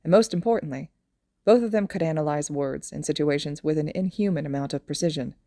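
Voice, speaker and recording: A woman's voice: deep voice, fairly quick, dark tone, dry recording, studio quality. A woman narrating a scripted fan fiction audio story.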